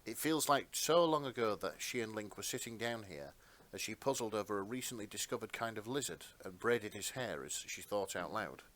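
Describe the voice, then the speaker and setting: Lower-voiced man narrating fan fiction dramatically. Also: expressive, a little fast, few pauses, a bit bright. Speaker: narrator, podcast host.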